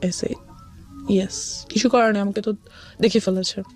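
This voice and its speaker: A woman's voice: medium pitch, steady tone, measured pace, a little mellow. A young woman with a Bangladeshi accent telling personal life experiences as a guest, often slipping into English. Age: young adult. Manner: code-switches often between Bengali and English. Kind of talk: confessional personal storytelling, mixing in English. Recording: music under the voice. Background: background music.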